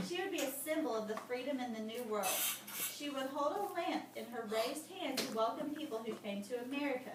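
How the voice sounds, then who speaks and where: A woman teacher reading aloud to children in a lesson, breaking off to explain and ask questions. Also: sits high. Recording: very echoey noisy room, far from mic.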